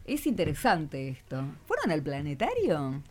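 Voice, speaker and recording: Female radio host, Rioplatense accent, lively intonation, encouraging, chatty interviewer over faint music. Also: low voice, a little slow. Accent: Rioplatense Argentine. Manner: encouraging, conversational. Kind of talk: informal educational radio interview with schoolchildren.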